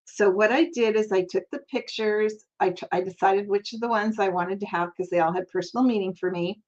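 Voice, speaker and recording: An older woman talks through a crafting project in a chatty, friendly way, laughing often. Her voice sits at a middle pitch. The recording sounds a little dull and lo-fi, picked up by a camera mic at her craft table. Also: fairly even intonation, brisk, darkish tone. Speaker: crafting channel host. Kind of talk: casual crafting tutorial.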